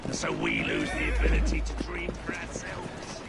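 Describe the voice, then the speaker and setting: An actor playing a theatrical, scheming gentleman in a video game, coaxing in grand phrases over music. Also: a bass voice, huge pitch swings, normal speed, creaky, heavily acted. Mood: conspiratorial, grandiose. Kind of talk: video game cutscene acting. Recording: loud music over dialogue.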